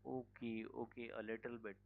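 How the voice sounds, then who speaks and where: A man's voice: medium pitch, flat delivery, moderate pace, creaky. A man teaching a live online security class, informal, full of fillers and "okay". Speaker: cybersecurity instructor. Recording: dull lo-fi call audio.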